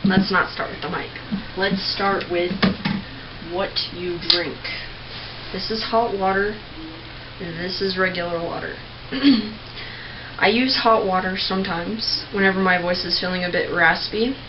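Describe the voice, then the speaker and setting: A young woman giving a casual, rambling tutorial on screaming technique, clearing her throat often. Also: fairly low pitch, steady tone, unhurried. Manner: chatty, rambling, with many filler words and throat clearing. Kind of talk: casual home tutorial video. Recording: dull lo-fi home recording with faint noise.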